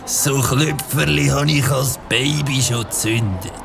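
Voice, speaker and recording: A man playing a boastful, swaggering fireworks show-off in a children's audio drama, with a Swiss German accent and a drawn-out delivery. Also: medium pitch, steady tone, vocal fry. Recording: music and crowd noise behind. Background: music and a crowd.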